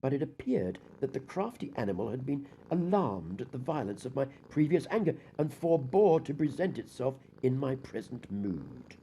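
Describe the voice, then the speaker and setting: A man's voice: mid-range voice, very animated, normal speed, hardly any pauses, warm tone, a little hiss or hum, rough recording. Man acting a wildly swinging gothic madman confessing murder. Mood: cold, calculating. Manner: theatrical, formal, dramatic emphasis. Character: deranged, guilt-haunted male murderer confessing, swinging from dread to frenzy. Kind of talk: dramatic gothic audiobook reading.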